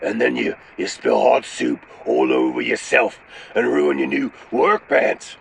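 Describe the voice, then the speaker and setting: Furious gravel-throated ogre. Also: male voice, medium pitch, a little slow, slight background noise. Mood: indignant ranting. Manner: ranting, exploding into yells. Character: furious raging ogre in a car dealer ad. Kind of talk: comedic radio ad acting.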